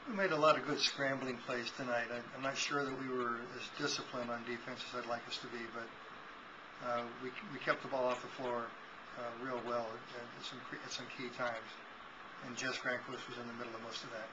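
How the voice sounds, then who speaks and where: A man in his sixties, a volleyball head coach giving a measured postgame interview with frequent restarts, recorded on a webcam in a small office. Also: medium pitch, flat delivery. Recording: dull lo-fi with noise.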